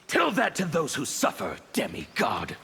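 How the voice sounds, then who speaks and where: An actor playing a bitter, menacing revolutionary of chaos in a video game, declaiming defiantly in a gravelly growl. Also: male voice, fairly high pitch, huge pitch swings, moderate pace, very noisy, full character voice. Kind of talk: video game fight banter.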